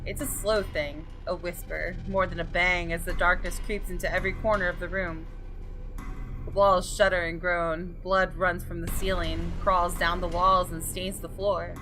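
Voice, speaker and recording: A woman gaming host giving an expressive, dramatic scripted narration of a visual novel. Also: fairly low pitch, normal speed, rough recording. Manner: expressive, story-reading delivery. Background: game music.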